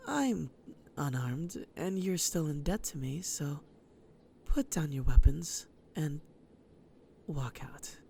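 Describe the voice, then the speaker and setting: Someone acting a breathy mocking killer villain, slow n theatrical, audio drama, noisy background. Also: medium pitch, animated, mellow. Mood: smug, taunting. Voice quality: breathy, often dropping to a whisper. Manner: taunting, theatrical, slow and menacing. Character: Harrow Lilin, sly mocking serial killer villain.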